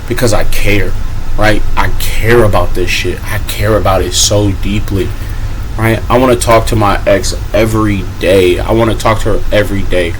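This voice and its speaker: A man's voice: mid-range voice, a bit bright, slight background noise, rough recording. Man in his twenties, candid profane vlog. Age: 20s. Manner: casual, profane, self-motivating, repeats "right?". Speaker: vlogger. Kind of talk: candid personal vlog monologue.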